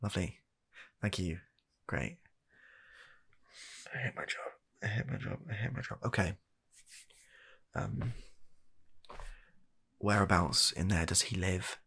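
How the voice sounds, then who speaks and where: British male, husky and low, for comic ASMR doctor roleplay; hesitant, deadpan, fed up. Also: takes it slowly, long pauses. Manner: exasperated, hesitant, awkwardly deadpan. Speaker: comedy ASMR performer playing a doctor. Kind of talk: comedic medical roleplay ASMR.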